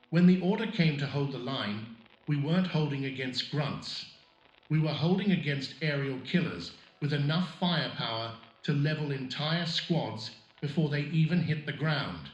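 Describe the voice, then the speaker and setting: Man as blunt sergeant, highish voice, echoey. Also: muffled recording, close to an everyday voice. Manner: blunt, grim. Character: gritty, blunt battle-hardened first sergeant. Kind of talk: scripted radio drama interview.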